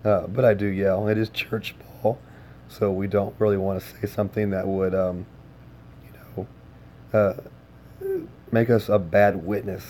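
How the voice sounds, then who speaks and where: A man with a hoarse, worn voice chatting casually with his livestream viewers, easygoing and full of filler words. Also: fairly low pitch, level tone, a little slow, long pauses, darkish tone, a little hiss or hum. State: hoarse, lost voice. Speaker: game streamer.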